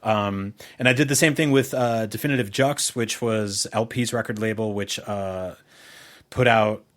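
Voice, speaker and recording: A man's voice: lowish voice, fairly even intonation, normal speed, a bit bright. A middle-aged American man from New Jersey co-hosting a podcast, telling rambling, casual anecdotes with the odd swear. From New Jersey, United States. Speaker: podcast co-host. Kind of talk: casual conversational podcast chat.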